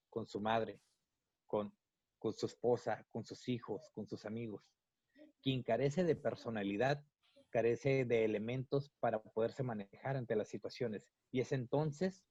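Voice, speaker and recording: Mexican male psychologist, mid-pitched, unhurried lecturing delivery, dull dry lo-fi recording. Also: a little mellow, dry recording. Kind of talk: interview, explaining at length.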